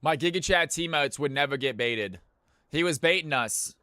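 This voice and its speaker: A man streaming live and hosting viewer games, chatting casually with his audience. His voice is bright and smooth, and it is recorded dry and close. Every so often he launches into a loud hype-announcer voice. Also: a little high, moderate pace, no echo. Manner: hype announcer bursts between easygoing chat.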